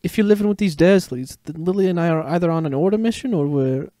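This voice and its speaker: A man's voice: on the high side, quick pace, dark tone, no echo, studio quality, lightly acted. A man voicing a playful, protective young father in a dramatic story reading. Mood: playful, concerned. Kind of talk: dramatic fan fiction reading.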